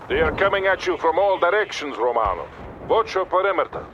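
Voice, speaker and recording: An actor playing a stern, composed Soviet army colonel with a Russian accent, giving measured orders in a military video game. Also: male voice, high voice, lively intonation, a bit bright, highs cut off. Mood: authoritative. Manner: authoritative, measured, commanding. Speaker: military commander character. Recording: battle gunfire noise behind voice. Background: gunfire, artillery and vehicles.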